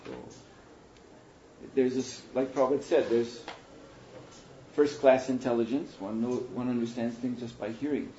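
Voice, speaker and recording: A man speaking as a spiritual teacher in a question and answer session, thoughtful and unhurried, pausing often. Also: medium pitch, fairly even intonation, a little hiss or hum. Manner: thoughtful, unhurried, with pauses and soft laughs. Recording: roomy hall, muffled and lo-fi.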